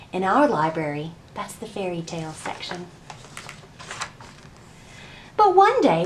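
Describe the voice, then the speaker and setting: A woman hosting a library storytime, addressing young children with questions and prompts as she reads and shares rhymes. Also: medium pitch. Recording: roomy echo, distant mic, faint noise.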